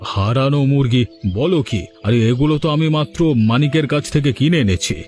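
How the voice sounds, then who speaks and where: Man as bossy grumbly village headman, middle aged, cartoon acting, normal pitch, soft music under. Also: moderate pace, natural voice. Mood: surprised.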